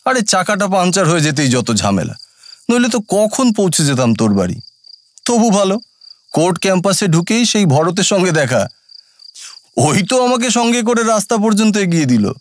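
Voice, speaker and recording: Expressive, higher-pitched man telling ghost tale. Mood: chatty, puzzled. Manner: expressive, theatrical, with suspenseful pauses. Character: earnest male court official narrating his own eerie ghost encounter. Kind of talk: dramatic first-person audio story narration and dialogue. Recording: studio recording with background music.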